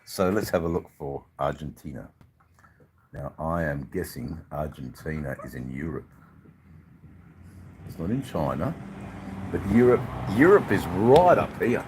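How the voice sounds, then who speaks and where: An older man with an Australian accent and a husky voice, chatting casually to livestream viewers in a rambling, drawn-out, joking way. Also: rumbling low, very animated, noisy background. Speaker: livestream channel host. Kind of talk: casual live chat with viewers.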